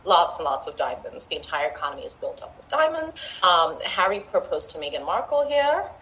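A chatty woman from Zimbabwe talks casually as a guest author, with a fairly low voice. She laughs easily and slips into a high voice when she quotes her friends. A faint hum of room noise sits behind her. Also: sing-song, a little fast, a bit bright, poor audio. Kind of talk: casual interview chat.

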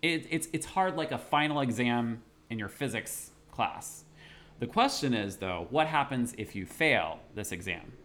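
A man's voice: medium pitch, lively intonation, a little slow, fairly bright tone. A man co-hosting a podcast, discussing theology in a casual scholarly way with jokey asides. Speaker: podcast co-host.

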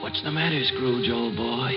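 An American actor playing the Ghost of Christmas Present, a breezy, knowing guide, in a mid-century radio drama. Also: male voice, mid-range voice, moderate pace, close to an everyday voice. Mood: knowing, probing. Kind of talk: 1950s radio drama acting. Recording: echoey reverb, muffled old radio, music behind.

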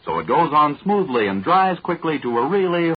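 Male old-radio pitchman, extremely husky, animated emphasis, dull vintage recording. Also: normal speed. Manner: emphatic sales delivery. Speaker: commercial announcer. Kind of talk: vintage 1940s radio advertisement.

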